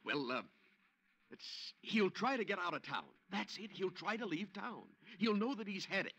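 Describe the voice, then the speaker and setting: Eager, jittery male amateur sleuth, bright forceful voice, lively swings, hesitant delivery, vintage radio drama. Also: high voice, normal speed, full character voice. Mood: eager, nervous. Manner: hesitant, stammering, excitable. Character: eager, nervous amateur sleuth, married man. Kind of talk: 1950s radio detective drama acting. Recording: noisy vintage radio recording.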